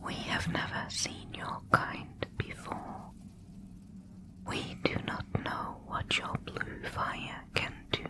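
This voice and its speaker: A woman's voice: slow, lightly acted. A woman voicing a wary, territorial giant spider guard in an ASMR roleplay, speaking in a stern, clipped whisper.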